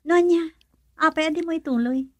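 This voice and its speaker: A woman's voice: high-pitched, a little fast, no echo. An actress playing an emotional young woman, a questioning close friend, with a Filipino accent in a radio drama. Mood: teasing, incredulous. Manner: expressive, probing with many questions.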